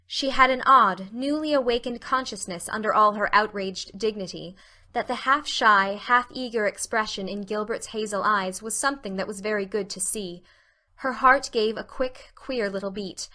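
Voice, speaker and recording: A woman narrating a storybook audiobook in an expressive, scripted style. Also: mid-range voice, normal speed.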